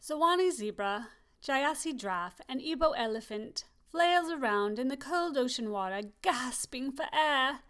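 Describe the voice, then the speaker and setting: Lady with a high voice reading a kids storybook out loud, lots of expression. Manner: expressive, slowing down for emphasis. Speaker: storybook reader. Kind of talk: children's story read-aloud.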